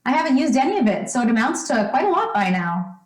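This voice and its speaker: Echoey recording, woman acting fond fussing mother, rather high voice, old-time radio play, trusting and chatty. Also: very animated, fast talker. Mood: earnest, confiding. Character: doting, trusting, wealthy mother of a teenage son. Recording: roomy, echoing stage-like sound.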